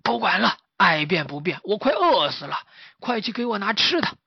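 A storyteller voicing a hungry, boastful old martial arts master in a children's story, with a high, strained voice. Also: sing-song, normal speed, gravelly, slightly rough, dry recording, muffled recording, exaggerated voice. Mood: grumbling.

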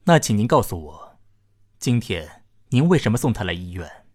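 A doctor in a sci-fi radio drama with a fairly low voice. He is calm and formal, choosing a measured, clinical tone that stays polite. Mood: calm, probing. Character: calm, formal male doctor delivering a diagnosis. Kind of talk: science-fiction radio drama acting.

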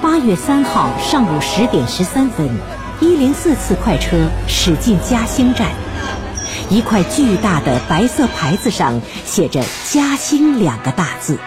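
Female narrator for historical radio drama: measured declamation, animated range, music bed. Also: medium pitch.

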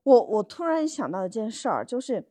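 A woman's voice: fairly high pitch, sing-song, fairly quick, mellow. A woman co-hosting a podcast, telling stories casually with colloquial asides and rhetorical questions. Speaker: podcast co-host. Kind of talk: casual conversational storytelling.

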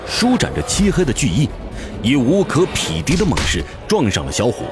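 A man's voice: mid-range voice, huge pitch swings, normal speed. A man narrating a fantasy audio drama from a script, with intense, theatrical storytelling. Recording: music behind the voice.

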